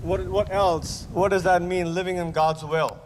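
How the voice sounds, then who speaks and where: A man's voice: high voice, fairly even intonation, normal speed. A man who is a physician giving an interactive lecture to a camp audience, hesitant, with many restarts, repeating the answers he hears. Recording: roomy hall with long reverb, faint noise.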